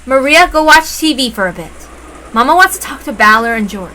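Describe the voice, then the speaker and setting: Woman playing a shrewd, commanding mother, high voice. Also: expressive, fairly quick, bright, forceful tone, a little hiss or hum, rough recording. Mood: sharp, sly. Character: shrewd, suspicious mother taking command of her family.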